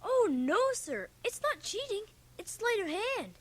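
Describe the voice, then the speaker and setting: A woman's voice: very high voice, big pitch swings, measured pace, exaggerated voice. A woman playing an earnest, stubborn fourteen-year-old boy in a radio drama. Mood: defensive, defiant. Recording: noisy cassette transfer of 1980 radio drama.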